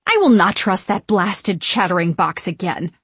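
A woman's voice: mid-range voice, expressive, moderate pace, husky, no room sound. Woman acting an imperious, snappish witch. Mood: scornful, commanding. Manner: snapping, archaic scolding. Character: imperious, short-tempered witch, eldest of three sisters.

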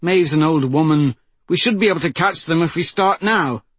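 A man's voice: fairly high pitch, normal speed, fairly bright tone, breathy edge, no room sound, pitched above the performer's own voice. A man voicing a fierce, forthright woman in an audiobook, speaking urgently and emphatically. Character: fierce, indignant woman leading a rescue.

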